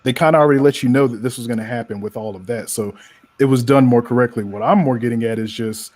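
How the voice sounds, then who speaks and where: A man's voice: mid-range voice, fast talker, darkish tone. A man from North Carolina, a comics creator talking casually on a panel, who repeats words as he thinks.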